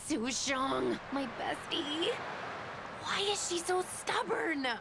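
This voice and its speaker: An actress playing a casual, excitable young woman and loyal friend in a video game. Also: high voice, takes it slowly, gravelly, noisy background, exaggerated voice. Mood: worried admiration. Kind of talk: video game dialogue acting. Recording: music behind the voice.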